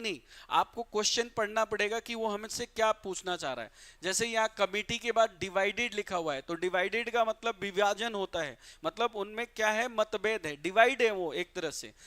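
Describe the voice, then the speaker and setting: Bright-voiced Bihari man teaching grammar. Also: sits high. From Bihar, India. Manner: addresses students as "beta", asks and answers his own questions; reads English examples out slowly and deliberately. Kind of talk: live online class in Hindi.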